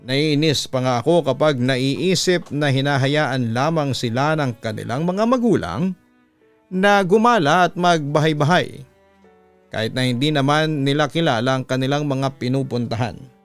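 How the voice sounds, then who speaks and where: A Filipino man, a radio announcer, narrating a listener's horror letter in a deliberate, dramatic storytelling style. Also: medium pitch, lively intonation, clear, resonant tone. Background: music bed.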